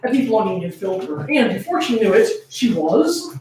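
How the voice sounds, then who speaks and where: A woman's voice: medium pitch, sing-song, moderate pace, mellow. A playful, easily amused woman co-hosting a fan fiction reading, shifting between dramatic narration and casual chat. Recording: very echoey room, distant from mic.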